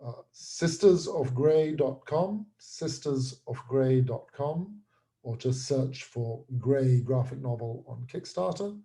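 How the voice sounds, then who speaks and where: A man with a dark, mellow voice at a medium pitch speaks as an interview guest. He talks casually and conversationally, as a creator discussing his work. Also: lively intonation, slow, vocal fry.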